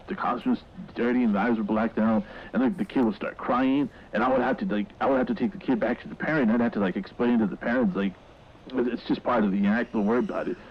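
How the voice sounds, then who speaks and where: An actor playing a nervous, flustered mall escort giving halting, rambling witness testimony in an audio horror drama, on a lo-fi tape recording. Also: male voice, medium pitch. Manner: halting, stammering, rambling, repeats himself. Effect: lo-fi tape recording filter. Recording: muffled, noisy lo-fi witness tape.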